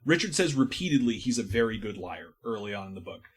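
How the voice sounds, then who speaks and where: A man's voice: on the low side, very animated, fast talker, breathy edge. A man talking casually and analytically about books as a podcast panelist, with slangy turns of phrase. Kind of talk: casual book discussion.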